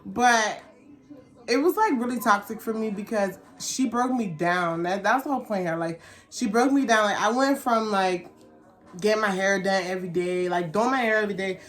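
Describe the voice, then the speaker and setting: A woman with a mid-pitched voice tells a personal story as a vlogger. She talks casually and candidly, in loose slang. She slips into animated re-enactments of what people said. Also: moderate pace.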